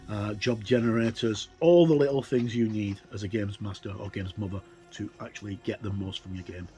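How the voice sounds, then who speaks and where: A middle-aged or older man giving a casual, unscripted look through a book as a tabletop game reviewer, in a rambling, hesitant way with many pauses. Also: on the low side, fairly even intonation, mellow. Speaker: reviewer and presenter of tabletop games. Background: ambient music with wind sounds.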